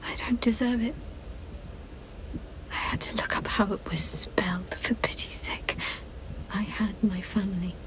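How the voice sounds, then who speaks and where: An actress playing a wistful, guarded young woman adventurer in a video game, hushed, hesitant and introspective. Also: fairly low pitch, wildly swooping pitch, unhurried, pauses often. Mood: wistful, sad. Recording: clear ambient noise behind.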